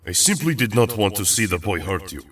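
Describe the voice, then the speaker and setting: A man's voice: on the low side, very animated, a little fast, fairly bright tone, far from the mic. A man playing a protective, principled young Russian superhero in an audio drama, formal and earnest. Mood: apologetic, gentle.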